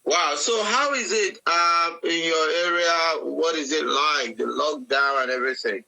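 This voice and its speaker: A man's voice: a little high, a little slow, vocal fry, bright, forceful tone, slight background noise, low-quality recording. A man hosting an online chat interview, politely asking questions with frequent ums.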